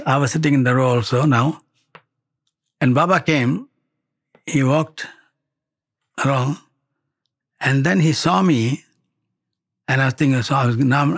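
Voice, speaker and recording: An older man with a Malaysian accent shares personal stories in a conversational way. His voice is husky and mid-pitched. He plays out bits of dialogue and often checks that the listener is following. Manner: animated retelling with quoted dialogue and tag questions. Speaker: devotee giving a spiritual testimony. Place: indoor studio set, seated before wood-panelled wall.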